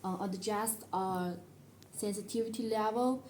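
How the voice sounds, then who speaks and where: A woman who speaks English as a second language, presenting a product tutorial in a halting, step-by-step way. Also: mid-range voice, darkish tone, a little hiss or hum. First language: not English. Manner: halting, step-by-step delivery with non-native phrasing.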